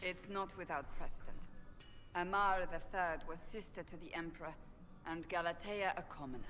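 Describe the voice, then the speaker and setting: An actress playing a stern, dignified woman of high religious standing, speaking formally in a video game. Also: pushed and bright, reverberant, faint music behind. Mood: stern, measured. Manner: formal, measured. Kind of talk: dramatic video game dialogue.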